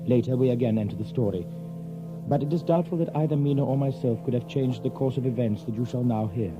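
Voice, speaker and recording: A grave Victorian gentleman played by a man gives scripted narration in a medium-pitched voice. He is formal and measured, with clear music behind a slightly dull audio drama recording. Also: natural voice. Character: grave Victorian gentleman recounting past tragic events.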